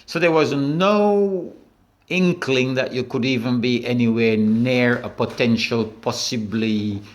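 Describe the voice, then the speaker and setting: A man's voice: medium pitch, takes it slowly. Chatty man interviewer with stammering restarts.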